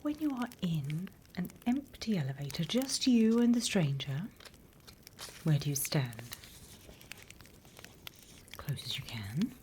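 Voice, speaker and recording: British woman, low breathy whisper, slow deliberate delivery, stern demon instructor roleplay, close ASMR mic, faint background noise. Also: sing-song, mellow. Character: stern, dry demon instructor training operatives for Earth. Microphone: close ASMR microphone.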